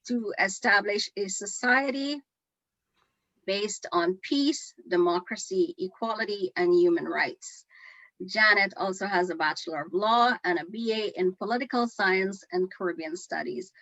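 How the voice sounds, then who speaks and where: A woman's voice: mid-range voice, a little slow, fairly bright tone, no echo. A middle-aged woman hosting a livestream interview, speaking over a muffled video call. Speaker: host.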